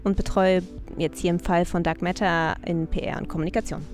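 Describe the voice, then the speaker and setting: A woman's voice: lowish voice, steady tone, a little fast, a little mellow, soft background music. A woman from Berlin who organizes exhibitions, speaking casually as a guest and searching for words. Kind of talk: casual interview talk.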